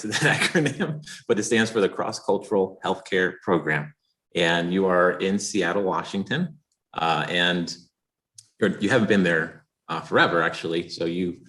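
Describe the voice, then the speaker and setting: A man's voice: sits low, fairly bright tone. A man hosting an interview, asking loose, rambling questions with fillers. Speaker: interview host.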